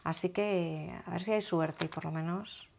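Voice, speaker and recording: A woman's voice: fairly low pitch, steady tone, normal speed, creaky, over a noisy crowd. A woman hosting a talk show, chatting casually about celebrities and laughing often. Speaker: host. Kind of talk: casual chat on a gossip show.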